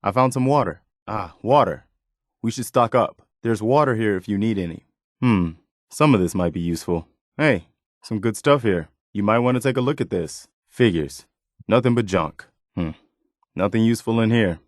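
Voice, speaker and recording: A man voicing an earnest, steadfast militia officer in a video game, speaking in a sincere, measured, reassuring way. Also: fairly low pitch, animated, dry recording, studio quality, natural voice. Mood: casual, helpful. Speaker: companion character in a video game.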